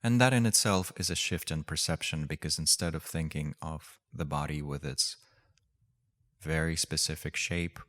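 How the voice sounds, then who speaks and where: A man leading a guided meditation as a teacher, speaking gently and unhurriedly with long pauses. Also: very deep voice.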